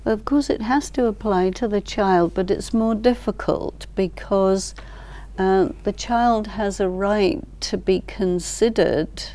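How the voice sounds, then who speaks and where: An older woman explaining ideas thoughtfully as an interview guest, in short measured phrases with deliberate pauses. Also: mid-range voice, warm tone. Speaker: expert guest, teacher. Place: large library hall, indoors. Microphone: lapel mic.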